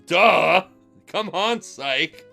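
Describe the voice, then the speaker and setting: A man reading fan fiction aloud for laughs on a stream, with animated, exclamatory, mocking delivery. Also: sits high, extremely slow, gravelly, soft background music.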